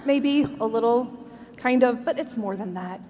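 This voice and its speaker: A woman's voice: a little high, moderate pace, warm tone, slight background noise, close to an everyday voice. A woman playing an eager, earnest church lady in a chirpy manner in a live stage comedy sketch. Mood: sheepish. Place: convention stage in a large hall. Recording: echoey hall, live stage.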